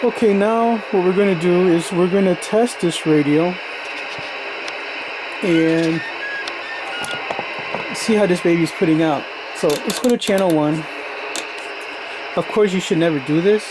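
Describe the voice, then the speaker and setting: A man's voice: sits high, a little slow, long pauses. A man giving a casual, plain-spoken, step-by-step demonstration of radio gear, like a hobbyist showing how it works. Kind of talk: casual hands-on product demonstration. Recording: noisy recording with radio static. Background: radio static and background noise.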